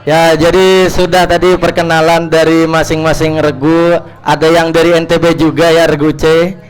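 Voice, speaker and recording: A man's voice: a little high, level tone, smooth, soft background music, poor audio. A man moderating a school quiz competition, playful and joking, stretching out his introductions. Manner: playful, joking, with drawn-out flourishes. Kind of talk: lively event emceeing. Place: event hall at an Islamic boarding school.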